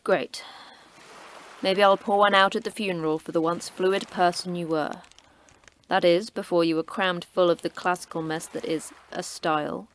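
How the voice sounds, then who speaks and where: An actress playing a terse, cryptic station manager who trains in martial arts, speaking in a curt, dry, sardonic way. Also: female voice, lowish voice, fairly even intonation, normal speed, lightly acted. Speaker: station manager of a secret facility.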